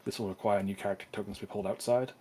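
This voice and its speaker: A man with a low voice runs a tabletop session over voice chat. He goes over changes in a halting, casual way, with plenty of ums. A faint hiss of noise sits under him. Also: steady tone, warm tone. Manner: halting, with frequent fillers. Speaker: dungeon master. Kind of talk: casual tabletop game talk.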